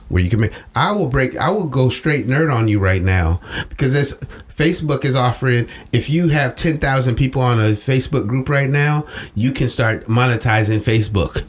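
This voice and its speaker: A man talking casually as an interview guest, slangy and emphatic, repeating phrases for effect. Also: medium pitch. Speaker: interview guest, social media strategist. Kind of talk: animated casual talk.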